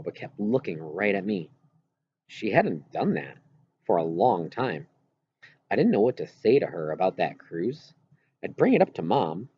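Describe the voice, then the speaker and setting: A man with a husky voice reads a first-person novel aloud expressively, with halting pauses mid-phrase. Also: lowish voice, darkish tone, muffled recording. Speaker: audiobook reader.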